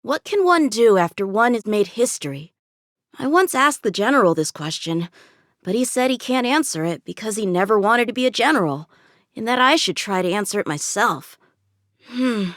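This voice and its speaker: A woman's voice: mid-range voice, expressive, moderate pace, a bit bright, no room sound, clean studio sound, natural voice. A woman voicing an eager, confident teenage boy swordsman in a video game, with an earnest, cocky, youthful manner. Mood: earnest, thoughtful. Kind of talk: video game character voice lines.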